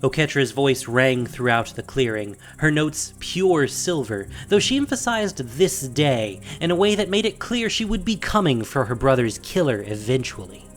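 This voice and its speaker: A man's voice: medium pitch, sing-song, normal speed, few pauses, soft background music. Male narrator of dramatic fantasy.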